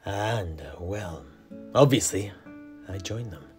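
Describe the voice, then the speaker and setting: A man telling a fantasy tale in character, theatrical and measured, with dramatic pauses and stresses. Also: deep voice, lively intonation, a little mellow. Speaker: storyteller, in-character tavern keeper. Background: plucked-string music.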